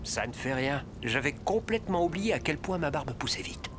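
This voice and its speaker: A man's voice: fairly high pitch, expressive, normal speed, music in the background, lightly acted. An actor playing a reassuring, easygoing man in a French-dubbed cartoon.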